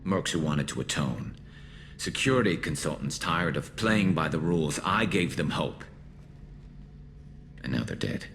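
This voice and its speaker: Grim alien vigilante, extremely low voice, gravelly, flanged metallic filter, dry brooding delivery, video game, background ambience. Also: male voice, lively intonation, measured pace, long pauses, a bit bright, slight background noise, heavily acted. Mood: weary, reflective. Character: grim alien mercenary vigilante, male, haunted and vengeful. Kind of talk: video game dialogue acting.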